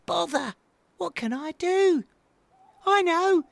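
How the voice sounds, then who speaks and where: A storyteller voicing an excitable, impatient little boy owl in an insistent, exclamatory way for a children's story. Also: extremely high pitch, slow pace, creaky, no room sound, pitched above the performer's own voice, exaggerated voice. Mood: bored, eager.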